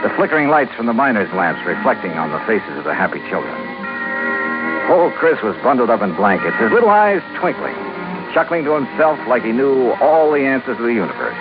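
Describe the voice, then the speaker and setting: Wry American male investigator, very lively inflection, muffled old broadcast with loud music behind. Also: mid-range voice, fairly quick. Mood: wry, storytelling. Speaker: lead actor and narrator. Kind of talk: 1950s radio detective narration. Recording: muffled vintage radio recording, loud music.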